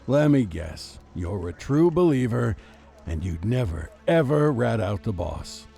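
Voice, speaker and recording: Casting: weary noir game detective, gravelly, dark-toned, deadpan and sardonic. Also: male voice, lowish voice, measured pace, soft background music, full character voice. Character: world-weary, sardonic veteran male police detective. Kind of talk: noir video game drama acting.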